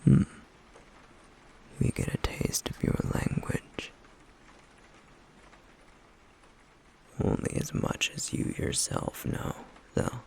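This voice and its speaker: A man playing a stoic, guarded, wounded flame demon in an intimate ASMR roleplay, speaking in a breathy, gravelly near-whisper with a slow, brooding, wryly teasing delivery. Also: natural voice, low-quality recording. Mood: calm, explaining.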